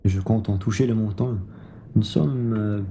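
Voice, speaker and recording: A man's voice: on the low side, normal speed, mellow, natural voice. A man in a student radio play, acting a blunt, complaining bourgeois wine merchant. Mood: self-satisfied. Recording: clear background noise.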